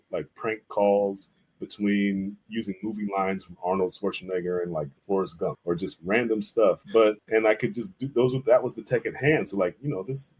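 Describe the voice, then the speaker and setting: A man's voice: on the low side, darkish tone, poor audio. A middle-aged man speaking casually as an interview guest, rambling through stories and acting out quotes as he goes. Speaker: interview guest, visual artist and art teacher.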